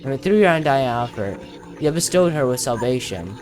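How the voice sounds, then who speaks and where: A man's voice: mid-range voice, lively intonation, brisk, darkish tone, faint music behind. A man commentating casually on his own video game playthrough, thinking aloud as he plays.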